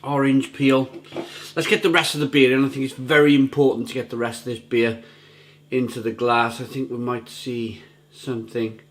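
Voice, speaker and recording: A middle-aged man with a gruff, slightly rough throat talks casually to camera as he reviews a beer. He is enthusiastic and savoring, often repeating words as he describes the taste. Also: medium pitch, little pitch movement, moderate pace. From England. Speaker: beer reviewer, channel host.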